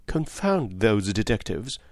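A man's voice: fairly low pitch, sing-song, takes it slowly, no room sound, clean studio sound, heavily acted. A male narrator voicing a good-natured Englishman who blusters with indignation. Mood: indignant.

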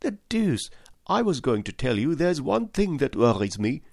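A man reading an audiobook voices an excitable, voluble French manservant who talks fast and stubbornly. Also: mid-range voice, creaky, dry recording, clean studio sound, pitched above the performer's own voice. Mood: fretful.

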